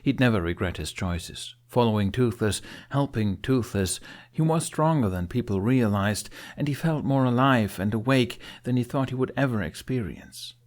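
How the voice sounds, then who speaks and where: A man reading scripted fiction as an expressive audiobook narrator. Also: mid-range voice, normal speed.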